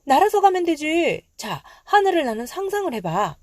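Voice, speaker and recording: A woman's voice: sits high, big pitch swings, normal speed, a little mellow, no echo, studio quality, voice pushed up. A woman voicing a brave, commanding young boy hero in a children's storybook reading. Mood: spirited. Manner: bold, spirited, commanding. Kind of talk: children's storybook read-aloud.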